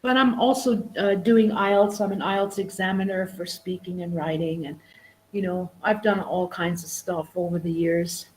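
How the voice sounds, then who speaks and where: A woman's voice: fairly low pitch, fairly even intonation, normal speed, a little mellow, slight background noise, poor audio. A middle-aged woman and English teacher, chatting as a guest on a live stream over a video call, full of restarts and "you know". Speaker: guest, English teacher and exam prep tutor. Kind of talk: casual live-stream conversation.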